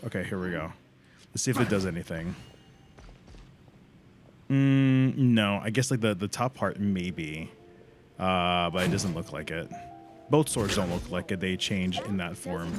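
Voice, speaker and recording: Low-voiced man casually streaming over music. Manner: informal, sweary, thinking aloud. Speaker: live game streamer. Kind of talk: casual livestream commentary.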